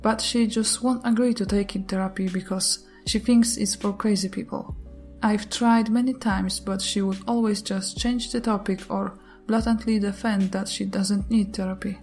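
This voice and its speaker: A woman whose first language is not English, reading online posts and comments aloud in a steady way, with brief chatty asides. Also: medium pitch, normal speed, faint music behind. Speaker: YouTuber reading Reddit posts.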